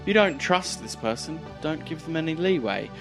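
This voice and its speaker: A man's voice: fairly high pitch, animated, normal speed, vocal fry, faint music behind, voice pushed up. A man voicing an imperious female crime boss in a tabletop game, clipped, formal and commanding. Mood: stern, businesslike. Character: imperious female crime boss, coolly businesslike, politely demanding.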